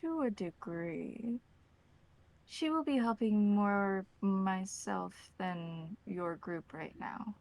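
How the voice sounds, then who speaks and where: A woman playing a courteous, calculating vampire elder in a tabletop game, speaking formally with slow, careful wording. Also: medium pitch, darkish tone, no echo, low-quality recording. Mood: measured, secretive.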